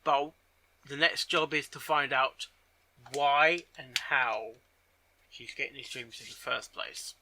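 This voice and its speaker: A man giving casual reaction commentary on a story, halting and often repeating his phrases as he goes. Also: mid-range voice, sharp tone. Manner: halting, repeats and rephrases himself. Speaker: reaction video host.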